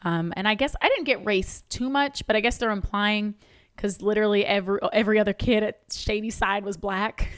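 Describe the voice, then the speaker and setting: A woman's voice: medium pitch, a little fast, fairly bright tone, clean tone. Chatty woman reviewing a movie. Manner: chatty, hesitant with many ums. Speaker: podcast co-host. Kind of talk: casual movie review chat.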